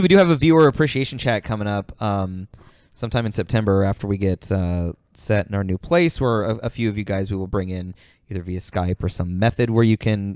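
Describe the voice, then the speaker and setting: A man's voice: fairly low pitch, darkish tone, clean tone, no room sound. Casual, sweary male podcast host, dry sound. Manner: sweary, informal.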